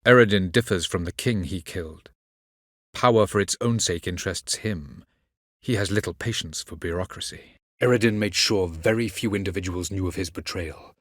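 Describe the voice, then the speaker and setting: An actor playing an ancient, aloof elven sage in a video game, speaking in a measured, formal and condescending way. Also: male voice, low-pitched, fairly bright tone, no echo, clean studio sound. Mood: cold, scornful. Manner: measured, formal, haughty delivery. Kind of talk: scripted video game dialogue.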